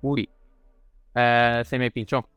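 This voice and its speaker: A man's voice: medium pitch, flat delivery, slow, a bit bright, smooth, faint music behind. A man with an American accent, a native English speaker, chatting casually as an interview guest. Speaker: interview guest, resident physician. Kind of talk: casual, friendly interview chat.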